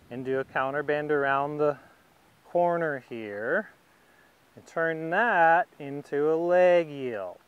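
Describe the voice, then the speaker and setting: A man giving a calm, patient, step-by-step riding lesson, narrating as he rides. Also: on the high side, clear, resonant tone, muffled recording. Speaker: riding instructor. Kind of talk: instructional demonstration narrated while riding.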